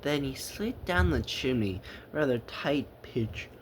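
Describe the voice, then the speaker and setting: A man's voice: medium pitch, lively intonation, background noise. A man reading a rhyming children's story aloud theatrically, leaning into words with dramatic emphasis. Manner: dramatic, drawn-out emphasis and repetition.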